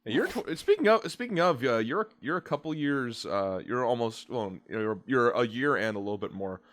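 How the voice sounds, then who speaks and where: Man, twenties, podcast host, middling pitch, rambling, hesitant. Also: lively intonation, moderate pace. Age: 20s. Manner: rambling, hesitant, many restarts. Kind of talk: casual podcast chat.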